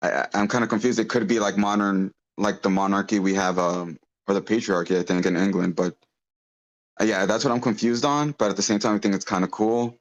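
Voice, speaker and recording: A man giving casual, halting feedback on a script in an online meeting, feeling his way through his thoughts. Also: lowish voice, fairly even intonation, dull audio. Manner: hesitant, halting, rambling. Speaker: script feedback participant at an online table read.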